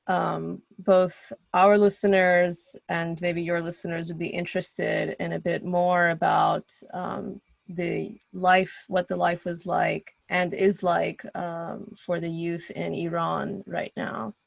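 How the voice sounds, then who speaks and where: A woman with an American accent talks casually as a podcast co-host. Her voice is lowish and heavily creaky, with a husky edge. She is informal and wordy, drifting into asides. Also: level tone, slow, hardly any pauses, no echo. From US West Coast.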